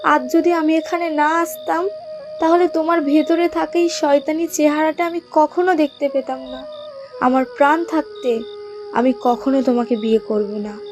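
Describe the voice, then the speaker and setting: A woman's voice: high-pitched, steady tone, moderate pace, over music, close to an everyday voice. A woman voicing a betrayed, proud young village bride in a horror cartoon, tearful and reproachful. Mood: hurt reproach. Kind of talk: Bengali horror cartoon dialogue.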